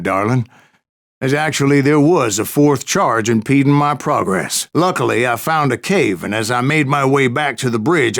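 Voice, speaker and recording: An actor playing a grizzled old gunslinger in a Western video game, spinning wry, folksy tales in a gravelly American Western accent. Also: male voice, medium pitch, a bit bright. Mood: wry storytelling. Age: older. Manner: folksy, wry, salty-tongued raconteur.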